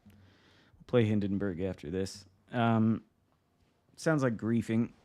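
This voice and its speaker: Relaxed American man, low voice. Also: slow pace. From Michigan. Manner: relaxed, wry, reacting to the game with mild swearing. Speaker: game streamer. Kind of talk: casual live-stream commentary. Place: home room.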